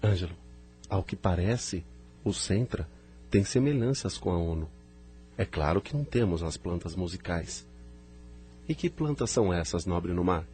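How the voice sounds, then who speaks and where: Reflective scholarly man for audio drama, played by an actor: deep, warm dark tone, formal delivery. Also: expressive, moderate pace, long pauses, soft background music. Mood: pensive. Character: thoughtful, learned male visitor from Earth.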